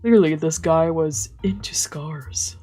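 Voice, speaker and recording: Woman streamer, low-pitched and mellow, narrating a visual novel with offhand commentary over soft music. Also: slow. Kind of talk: dramatic let's play read-aloud with casual asides. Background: game music.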